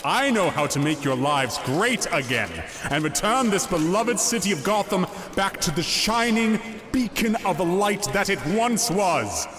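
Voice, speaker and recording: Male actor as bombastic ex-criminal mayoral candidate; higher, sweeping voice, deliberate oratory, audio drama. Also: animated. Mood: rousing. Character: Oswald Cobblepot, reformed criminal mastermind turned bombastic mayoral candidate. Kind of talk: campaign speech in fan audio drama. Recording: outdoor crowd noise behind.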